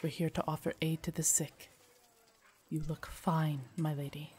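A woman playing a loyal, gentle royal knight in an audio roleplay, formal, deferential and soothing in her measured speech. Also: deep voice, flat delivery, a little hiss or hum, close to an everyday voice. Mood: gentle, attentive. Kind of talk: immersive audio roleplay acting.

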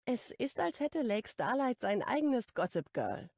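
A woman's voice: a little high, big pitch swings, measured pace, gravelly, a little mellow. A woman narrating a first-person romance audiobook in an intimate, lightly playful tone.

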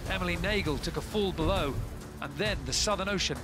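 A man reading scripted sailing news narration in an even, measured way. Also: high-pitched. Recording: voice-over with music behind.

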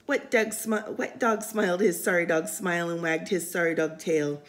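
A woman reading a picture book aloud for storytime, expressive and sing-song, with casual asides. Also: on the low side, normal speed. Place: indoors, room by a window.

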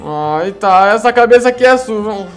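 A timid, giggly young sidekick with a high, light voice, put on by a man. He hesitates and stammers through his lines as if a little afraid. Soft game music plays faintly underneath. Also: expressive, normal speed. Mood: sheepish.

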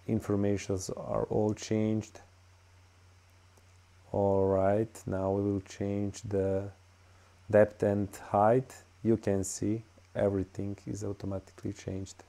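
A man with a Bosnian accent talks through a screen-recorded tutorial, speaking English as a second language. His voice is low and dark, and his delivery is slow and halting, full of fillers. It sounds like a plain desktop-mic voice-over. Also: gravelly. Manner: halting, many "uh" and "all right" fillers. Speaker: tutorial host.

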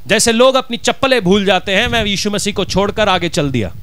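A man's voice: sits high, lively intonation, fairly quick, a bit bright, a little hiss or hum. A middle-aged man with a Punjabi accent, a pastor preaching a sermon from a church stage with a handheld mic, driving his points home with rhetorical questions. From Punjab, India.

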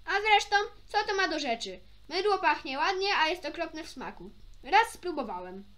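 A woman voicing a stubborn, food-loving schoolboy in a storybook, arguing loudly and insistently. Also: sits high, big pitch swings, normal speed. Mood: indignant. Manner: loud, insistent, indignant.

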